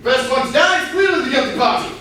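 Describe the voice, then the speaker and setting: A man playing a haughty, exasperated butler turned sleuth in a farcical mystery, condescending and deliberate, at a staged reading. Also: very high-pitched, bright, forceful tone. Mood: haughty, disdainful. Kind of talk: staged comedy play reading. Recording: echoey hall, far mic, faint noise.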